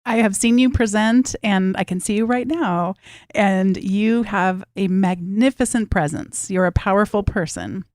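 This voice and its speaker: A woman's voice: medium pitch, unhurried, a little mellow, no room sound, studio quality. A woman hosting a podcast, asking thoughtful, admiring questions of her guest. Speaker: podcast host. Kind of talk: interview questions.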